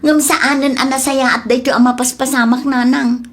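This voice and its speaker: A woman's voice: high voice, level tone, normal speed. A woman playing a plaintive, suspicious young wife in an Ilocano comedy drama, speaking with an Ilocano Filipino accent. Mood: troubled.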